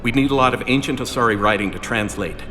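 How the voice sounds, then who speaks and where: A man playing an eager, bumbling, apologetic fan of a space commander in a video game, excitable and easily flustered. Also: mid-range voice, quick pace, soft background music, natural voice. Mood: flustered, helpful.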